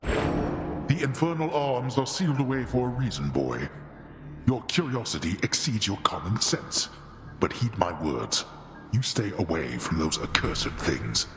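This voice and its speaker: A man's voice: fairly low pitch, big pitch swings, a little slow, fairly bright tone, heavily acted. An actor playing an imperious, scornful god of the dead and father in a video game, pompous and formal. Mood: stern warning. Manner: pompous, cold, commanding, formal. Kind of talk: video game character acting. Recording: long hall reverb over music.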